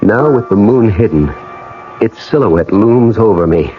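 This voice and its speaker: A man acting in a 1980 radio drama as a brooding man on a pilgrimage, telling it in a measured, atmospheric first person. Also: lowish voice, expressive, slight background noise. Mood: awed, intent. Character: brooding American man on an ancestral pilgrimage, narrating. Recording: dull old radio broadcast with music.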